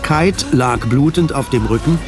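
A man narrates a scripted radio drama. His voice sits at a middle pitch, with loud music underneath. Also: measured pace.

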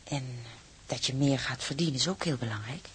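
A woman playing a gentle, devoted young sailor's wife in a radio drama, speaking in a soft, homely, intimate way. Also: extremely low pitch, normal speed, a little hiss or hum, poor audio. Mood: tender, content. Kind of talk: Dutch radio drama acting.

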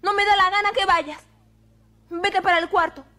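A woman's voice: very high voice, steady tone, normal speed, bright, forceful tone. A woman playing a bossy, spiteful girl villain in an old radio soap, imperious and threatening. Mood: angry, threatening.

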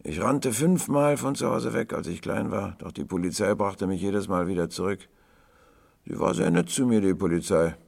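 A man voicing a frail, chatty eighteen-year-old vagrant boy with a hoarse, breathless voice, as in a storybook reading. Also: deep voice, normal speed, a little mellow, pitched above the performer's own voice. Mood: boastful, chatty.

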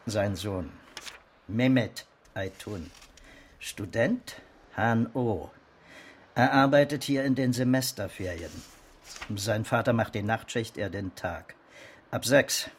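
An actor playing a sardonic police detective, briefing in a clipped, matter-of-fact way in a naturalistic radio crime drama. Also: male voice, lowish voice, expressive, slow pace, pauses often, slight background noise. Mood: dry, matter-of-fact.

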